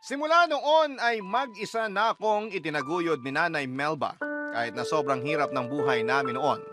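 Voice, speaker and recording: Loud music runs behind a man narrating a story in radio style. His voice is slightly high as he tells it in the first person. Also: animated, normal speed. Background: prominent music.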